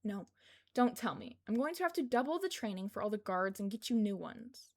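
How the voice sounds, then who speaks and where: A woman's voice: medium pitch, big pitch swings, a little fast. A woman voicing a brusque, protective woman soldier who scolds a boy in a bossy way, in a fan fiction audiobook. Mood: exasperated, scolding.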